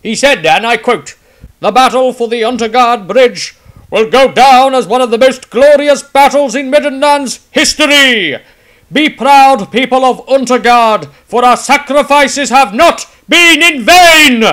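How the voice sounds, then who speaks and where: A man's voice: very high-pitched, a little slow, a bit bright, pitched above the performer's own voice. A man voicing a proud veteran army captain in his fifties, making a grand, rallying public speech in a fantasy audiobook.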